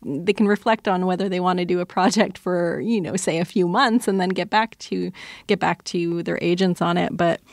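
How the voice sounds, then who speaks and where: A woman speaks as a podcast guest in a measured, halting way. Her voice is slightly low with noticeable fry, and the recording is dry. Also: dry recording. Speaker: podcast creator, interview guest. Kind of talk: reflective interview chat.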